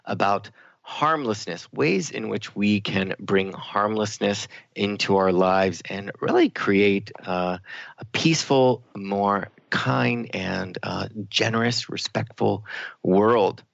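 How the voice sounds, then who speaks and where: Male radio co-host, low creaky voice, reflective and halting, discussing spiritual ideas, dry and slightly muffled. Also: a bit bright, no room sound. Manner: reflective, halting, searching for words. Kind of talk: conversational spiritual talk radio.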